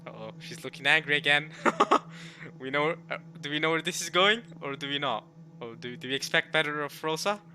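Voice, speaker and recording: A man who speaks English as a second language, giving casual, rambling let's-play commentary and reading game text aloud, with excitable bursts. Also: fairly high pitch, moderate pace. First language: not English. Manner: rambling, repeats and rephrases himself, excitable bursts. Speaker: streamer playing and reading a visual novel. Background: game music.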